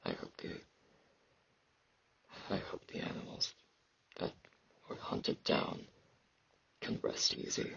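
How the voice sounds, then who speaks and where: A man playing a weary, aloof immortal fantasy knight in an intimate ASMR roleplay, slow and brooding, with a gravelly, husky voice and sibilant S sounds. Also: fairly bright tone, no echo, muffled recording. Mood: grim, earnest. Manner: slow, brooding, casual about grim things.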